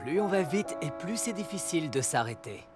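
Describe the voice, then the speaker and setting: A man playing a gentle, cautious father in a French-dubbed children's cartoon, explaining things expressively. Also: on the high side, big pitch swings, a little fast, loud music behind the voice. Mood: cautioning.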